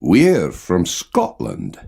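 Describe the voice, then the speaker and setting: A man reading scripted dialogue lines for a language course, slow and carefully enunciated. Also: low-pitched, wildly swooping pitch, vocal fry, mellow, husky.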